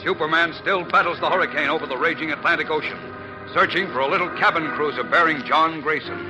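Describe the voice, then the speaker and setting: A man's voice: fairly high pitch, quick pace, natural voice, rough recording. A man narrating a 1940s radio serial in a grave, suspenseful, theatrical way. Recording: old radio broadcast under loud music.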